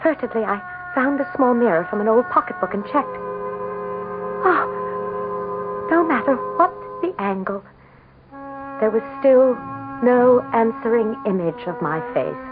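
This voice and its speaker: An actress playing a vain, desperate, scheming politician's wife in a melodramatic radio drama, with theatrical, anguished and imperious delivery. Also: female voice, on the high side, lively intonation, a little slow, pauses often, over music. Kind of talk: melodramatic radio drama acting and first-person narration. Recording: muffled lo-fi vintage radio broadcast.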